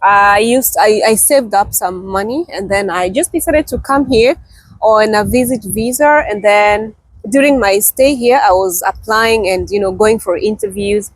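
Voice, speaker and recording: A woman's voice: mid-range voice, a little slow, dry recording, poor audio. A woman from Kenya, a guest teacher, telling her story in a friendly, chatty interview and laughing often. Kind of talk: casual interview chat. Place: outdoors in the wind.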